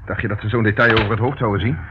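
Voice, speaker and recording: An actor playing a shrewd, decisive detective who calmly takes command in a radio drama. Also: male voice, fairly low pitch, very fast, natural voice. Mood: cool, commanding. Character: shrewd, cool-headed male detective taking command in danger. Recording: old lo-fi radio recording, faint noise.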